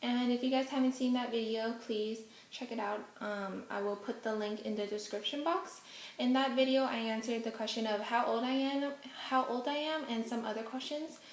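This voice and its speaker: Young woman vlogger, first language Hokkien, slightly high voice, casual and chatty in a roomy echo. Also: fairly even intonation, moderate pace. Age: young adult. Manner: chatty, many ums, giggly asides. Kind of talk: casual Q&A vlog. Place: indoors at home, face out of frame.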